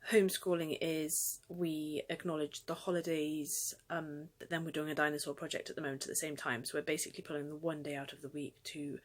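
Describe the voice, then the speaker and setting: A young British woman talking in a casual vlog style, rambling a little and hesitating as she goes. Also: deep voice. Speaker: homeschooling mother and vlogger.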